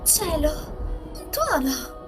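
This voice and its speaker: A woman puts on a high, girlish voice for a playful young noble sister from a visual novel. She teases and exclaims, swooping up and down, with music playing behind her. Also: sing-song, slow, heavily acted. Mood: startled. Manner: exclamatory, teasing. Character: playful teasing young aristocratic sister, Nelly. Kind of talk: visual novel live reading.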